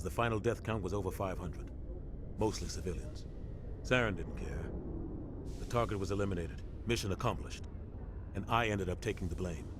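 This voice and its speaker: An actor playing a bitter veteran soldier captain, speaking in a measured, grim tone. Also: male voice, low voice, natural voice. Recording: game cutscene with ambient noise.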